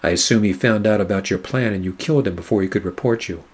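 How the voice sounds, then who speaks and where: Low-voiced hard-boiled private eye played by a man. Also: fast talker, slightly rough. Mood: wry, measured. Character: weary, wry hard-boiled male private eye narrating his case. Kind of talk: noir radio play narration and dialogue.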